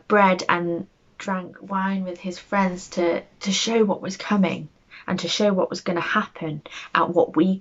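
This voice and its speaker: A woman telling a bedtime story to young children, speaking gently and expressively as she reads aloud and chats to them. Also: fairly low pitch, highs cut off.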